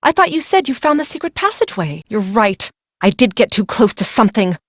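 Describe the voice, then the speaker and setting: A woman's voice: on the high side, very animated, fairly quick, no echo, clean studio sound. A young woman playing a secretive, fearful young bride in a video game, speaking in a weary, brooding, dramatic way. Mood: agitated, fearful.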